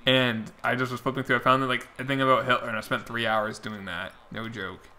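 A man's voice: medium pitch, little pitch movement, normal speed, pushed and bright. A young man streaming a baseball video game, rambling casually and answering his chat viewers, his voice getting worn out. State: losing his voice. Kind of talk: casual chat while playing.

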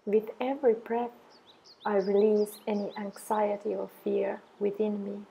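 A woman guiding an affirmation meditation in a soothing, measured way, with long pauses between deliberate phrases. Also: medium pitch, flat delivery, vocal fry, warm tone, slight background noise, poor audio. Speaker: meditation guide.

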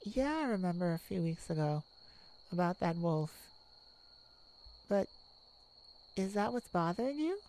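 A woman playing a tenderhearted warrior mage in a soft ASMR romantic roleplay, speaking in a hushed, soothing, halting way. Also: lowish voice, animated, low-quality recording. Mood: gentle reassurance. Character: tenderhearted young warrior mage comforting a werewolf lover. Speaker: audio roleplay performer.

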